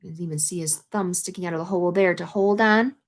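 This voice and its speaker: A woman reading a children's picture book aloud in an expressive, instructive way, adding explanations for young listeners. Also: fairly low pitch, quick pace. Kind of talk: read-aloud storytelling with explanatory asides to children.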